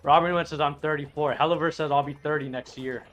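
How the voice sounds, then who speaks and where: A man's voice: fairly high pitch, steady tone, quick pace, fairly bright tone, faint music behind. A man in his late thirties streaming a game, chatting casually and reading viewer messages aloud in a rambling, profane way. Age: 30s. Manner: rambling, profane, restarts sentences. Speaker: streamer playing an online game.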